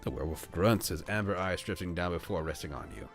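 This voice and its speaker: A man with a very deep voice narrates a visual novel on a live stream. His delivery moves between storytelling and casual streamer talk. Faint music plays behind him. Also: lively intonation, fast. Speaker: VTuber streamer reading a visual novel aloud. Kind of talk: live read-aloud narration with casual asides. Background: background music.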